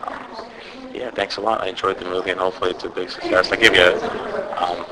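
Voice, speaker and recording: A man's voice: deep voice, steady tone, quick pace, low-quality recording. A man interviewing an actor for a film review site, chatty and enthusiastic, rambling with fillers. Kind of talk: casual press interview. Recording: crowd chatter behind.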